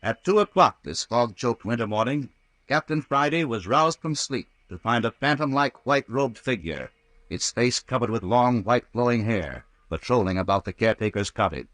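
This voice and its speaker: Old time radio narrator guy, dramatic scripted recap, medium pitch, no echo. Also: lively intonation, normal speed, a bit bright. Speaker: announcer-narrator.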